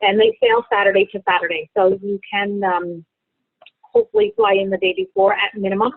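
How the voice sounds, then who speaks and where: A woman's voice: mid-range voice, big pitch swings, fairly quick, creaky, dry recording. A woman from Ontario hosting a travel webinar, chatty and reassuring on a video call. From Ontario, Canada. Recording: tinny phone-like call audio.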